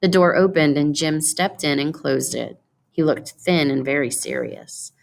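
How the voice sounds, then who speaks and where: A woman narrates a story aloud in an expressive, read-from-the-page style. Her voice is quite low for a woman and often drops into vocal fry. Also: measured pace. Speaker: story reader.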